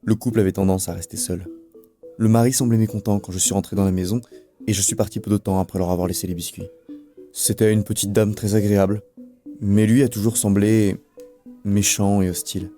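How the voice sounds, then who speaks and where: A man playing a sombre male neighbour giving measured testimony in a scripted documentary. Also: on the low side, little pitch movement, warm tone, faint music behind, lightly acted. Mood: sombre recollection. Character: male neighbour recalling a troubled couple, sombre witness.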